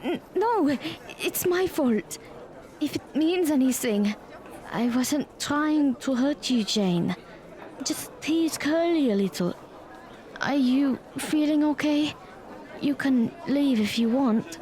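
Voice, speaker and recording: A woman's voice: high-pitched, animated, slow pace, a little mellow, a faint crowd. A woman playing a playful, teasing and encouraging teenage schoolgirl in an audio drama, with a coaxing manner. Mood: apologetic.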